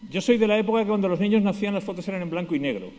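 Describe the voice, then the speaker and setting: A middle-aged Spanish-accented man speaks quickly, with a fairly high voice, as he delivers a conference keynote. He is ironic and pokes fun at himself. His voice carries a long hall reverb. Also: dark tone. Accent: Spanish from Spain. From Spain, Basque Country. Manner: ironic, self-deprecating humor.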